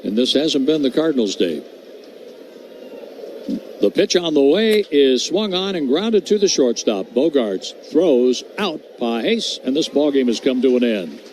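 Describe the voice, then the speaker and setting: Brisk male radio play-by-play announcer. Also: big pitch swings, a little mellow, a little hiss or hum. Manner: crisp, rattles off stats and calls each pitch.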